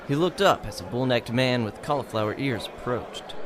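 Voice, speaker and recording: A man's voice: medium pitch, moderate pace, noisy background. A man reading scripted narration for an old-time radio crime drama.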